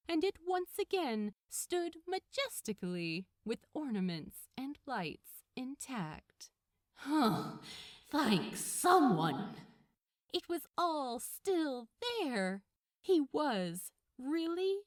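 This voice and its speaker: A woman's voice: on the high side, darkish tone, no room sound, clean studio sound. A woman narrating a piece of fiction expressively, as a scripted audiobook-style reading.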